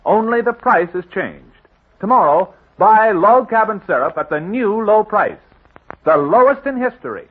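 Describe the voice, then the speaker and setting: A man reads a punchy 1940s radio commercial in a high announcer's voice. It sounds narrow and tinny, like an old broadcast. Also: animated, moderate pace.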